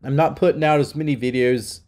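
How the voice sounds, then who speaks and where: A man's voice: mid-range voice, fairly even intonation, fairly quick, a little mellow. A middle-aged man hosting his own channel, ranting with heat and emphasis, sounding nasal from being sick. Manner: heated, emphatic. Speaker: channel host. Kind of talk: opinion rant.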